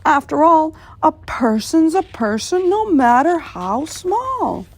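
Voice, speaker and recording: A woman's voice: high-pitched, big pitch swings, takes it slowly, a little mellow, no echo, pitched above the performer's own voice, heavily acted. A woman voicing a kind, worried, loyal male elephant in a storybook, speaking earnestly. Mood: resolute. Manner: earnest, pleading.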